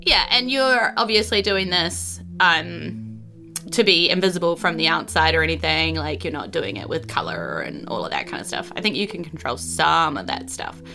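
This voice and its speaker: A woman's voice: low-pitched, expressive, moderate pace, gravelly, bright, forceful tone, soft background music. A woman with a New Zealand accent running a tabletop roleplay as game master, informal, playful and encouraging. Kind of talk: casual tabletop roleplay narration.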